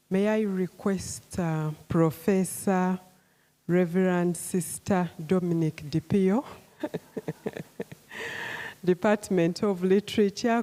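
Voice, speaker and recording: A woman making polite, formal, deliberate announcements as a master of ceremonies. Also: lowish voice, mellow. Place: conference hall.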